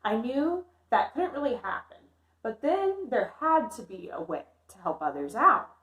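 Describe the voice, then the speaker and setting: In an echoey room, a woman plays an eager schoolgirl from a children's storybook, a little nervous. The delivery is emphatic and expressive, with lively swings in pitch. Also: a little slow. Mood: earnest. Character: eager, nervous schoolgirl Amelia narrating her own story. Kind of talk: animated children's storybook reading.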